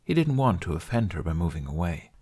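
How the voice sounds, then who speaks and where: A man's voice: low voice, big pitch swings, fast, a little mellow, breathy edge. A man reading scripted fiction as an audiobook narrator.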